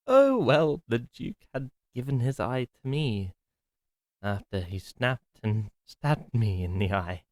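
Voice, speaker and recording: A man voicing a gentle, timid masked servant to a cruel duke, speaking softly, formally and with hesitation. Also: medium pitch, no echo, studio quality. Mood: calm. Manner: soft, hesitant, formal and polite. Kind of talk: ASMR roleplay acting.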